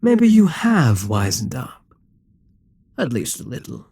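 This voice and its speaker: A man playing a dominant, gloating Demon King in an intimate ASMR roleplay, smug and coaxing, with a breathy, husky voice that often drops toward a whisper. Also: medium pitch, big pitch swings, takes it slowly, darkish tone, dry recording, exaggerated voice. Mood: mocking, seductive. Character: dominant, gloating male Demon King toying with a captive hero.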